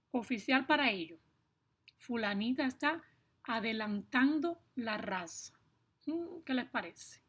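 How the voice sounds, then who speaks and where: A woman with a Cuban accent explaining things to viewers in a personal, emphatic way, stressing points with deliberate repetition. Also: fairly high pitch, fairly bright tone. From Cuba. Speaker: video blogger.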